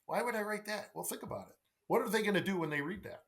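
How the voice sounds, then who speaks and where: Animated man with a higher-pitched voice. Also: quick pace. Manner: emphatic, repeats phrases, rhetorical questions and tag "right?". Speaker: sales coach, podcast guest. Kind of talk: conversational podcast interview.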